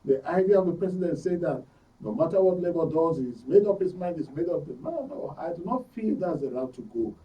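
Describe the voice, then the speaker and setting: A man's voice: fairly high pitch, animated, fairly quick, far from the mic, muffled recording. A man with a Nigerian accent hosting a current affairs talk show, speaking emphatically and repeating phrases for stress. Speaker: radio and TV talk show host. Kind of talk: current affairs discussion and programme sign-off. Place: radio and TV studio.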